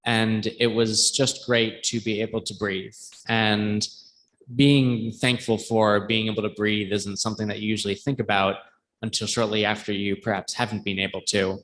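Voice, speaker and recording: Low-voiced man, halting and reflective. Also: flat delivery, fairly bright tone, low-quality recording. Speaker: congregation member. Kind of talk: informal personal sharing at church. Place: church service, live. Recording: faint background noise, live room.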